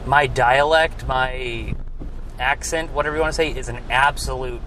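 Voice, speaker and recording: A man's voice: mid-range voice, fairly even intonation, moderate pace, sharp tone, rough recording. A young man co-hosting a casual podcast, rambling and trailing off with lots of filler words. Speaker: podcast co-host. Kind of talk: casual chat in a car. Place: inside a car. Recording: road noise in a moving car. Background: car and traffic noise.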